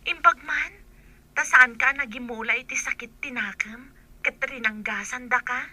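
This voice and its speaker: A woman plays a concerned and curious friend in a radio drama. Her voice is high and piercingly bright, with an inquisitive manner. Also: moderate pace, heavily acted.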